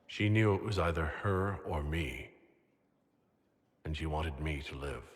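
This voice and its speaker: Resolute plain-spoken hero, video game, very low voice, bright edge, serious, long hall reverb. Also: male voice, unhurried. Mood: guarded. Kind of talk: dramatic video game acting.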